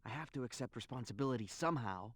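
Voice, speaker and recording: A man's voice: mid-range voice, animated, fast talker, fairly bright tone, no room sound. A man playing an earnest, resolute young hero in a video game cutscene, speaking plainly. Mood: subdued resolve.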